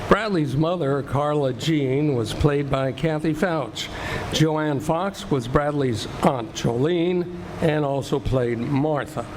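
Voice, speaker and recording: A man's voice: fairly high pitch, slow pace, a little mellow, a little hiss or hum, low-quality recording. A man acting as the folksy, tongue-in-cheek storyteller of a western radio play, reading scripted narration. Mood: announcing. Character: storytelling narrator of an Old West legend. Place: live performance at a community center.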